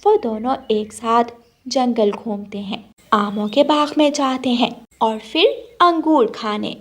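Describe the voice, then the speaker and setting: A woman's voice: a little high, takes it slowly. A woman narrator reading a scripted moral story for children.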